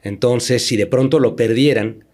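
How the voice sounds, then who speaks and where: A man's voice: medium pitch, moderate pace, darkish tone. A man from Mexico, a company manager interviewed as a podcast guest, explaining at length with frequent fillers and repeated words.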